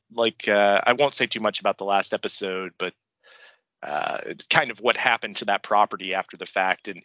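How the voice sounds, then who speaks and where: A man's voice: on the low side, bright, forceful tone, no echo, dull audio. A man co-hosting a casual podcast, congested from the flu, laid-back and joking with plenty of swearing. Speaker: podcast co-host.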